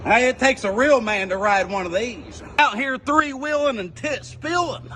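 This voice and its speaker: A man's voice: very high voice, normal speed, pushed and bright. A man delivering a brash, crude comic sales rant in the manner of a hollering hype-man. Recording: noisy outdoor clip with ambience.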